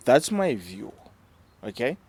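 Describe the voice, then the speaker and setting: A middle-aged South African man from the Eastern Cape, a native Xhosa speaker, speaking in a measured, reflective way about fatherhood and often checking in with his listeners. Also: medium pitch, big pitch swings, darkish tone, husky. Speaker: discussion panelist, father. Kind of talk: casual reflective discussion.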